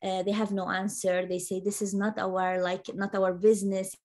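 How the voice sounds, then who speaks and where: A woman speaks as a conference panelist, analysing policy with a halting, searching delivery. Her first language is Arabic. Her pitch is mid-range and stays very level. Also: level tone, darkish tone.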